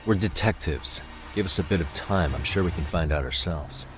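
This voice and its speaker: An actor playing a calm, persuasive detective in an English game dub, measured and politely insistent. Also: male voice, low voice, music in the background, natural voice. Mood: firm, persuasive. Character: calm, persuasive male detective pressing for information. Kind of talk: dramatic game cutscene dialogue.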